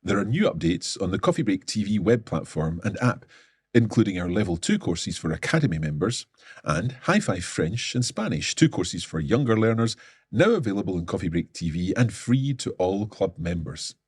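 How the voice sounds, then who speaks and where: Husky-voiced man announcing news, upbeat. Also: low-pitched, expressive, normal speed, no echo, studio quality. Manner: upbeat, enthusiastic presenter. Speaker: company presenter. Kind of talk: scripted announcement presentation.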